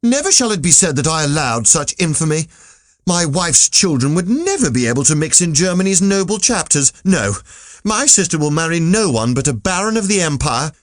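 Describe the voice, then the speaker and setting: An actor voicing a proud, inflexible baron in an audiobook story, pompous and indignant. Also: male voice, fairly high pitch, sing-song, normal speed, no echo, studio quality, voice pushed up. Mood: haughty refusal. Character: haughty, inflexible German baron, proud of rank.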